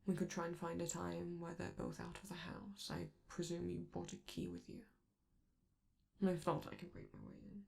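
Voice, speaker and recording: Casting: woman voicing affectionate, protective showbiz robot; lowish, heavy vocal fry, hushed intimate roleplay delivery with a theatrical streak. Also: level tone, normal speed. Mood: reassuring, protective. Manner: soft, theatrical, affectionate, hesitant, breaking into whispers. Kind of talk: intimate ASMR comfort roleplay.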